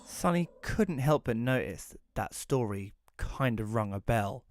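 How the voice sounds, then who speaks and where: A man plays a reclusive, slightly awkward widower in an audio drama. He tells the story in the first person at an ordinary male pitch. The delivery is informal and conversational. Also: expressive, unhurried, background noise. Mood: reflective narration.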